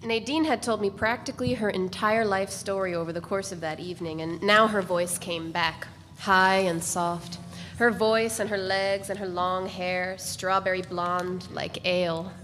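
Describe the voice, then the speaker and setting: A woman narrating literary fiction in a live dramatic reading, measured and deliberate with dramatic pauses. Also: mid-range voice, steady tone, a bit bright. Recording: reverberant hall, faint noise.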